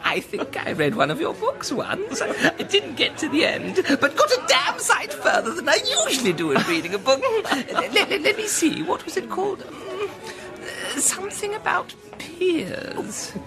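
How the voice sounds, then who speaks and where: Shrill, braying English aristocrat. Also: female voice, wildly swooping pitch, unhurried, music in the background, heavily acted. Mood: amused, tipsy. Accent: upper-class English. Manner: blunt, giggly, dim. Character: braying, empty-headed aristocratic English guest. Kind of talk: period radio comedy drama acting.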